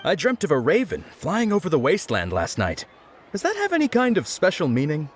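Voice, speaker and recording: A curious game townsman. His voice is fairly high and swings widely in pitch as he speaks in earnest, with faint music underneath. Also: huge pitch swings, a little fast. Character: curious, earnest townsman asking about an omen. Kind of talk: video game character dialogue.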